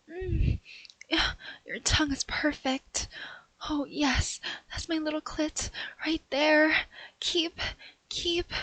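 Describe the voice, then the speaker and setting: A high, sassy catgirl cat burglar, played by a young woman in an audio roleplay, teasing and cheeky, slipping in breathy meows. She speaks slowly and deliberately. The recording is close and dry, with no room sound. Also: sharp tone, no echo, exaggerated voice.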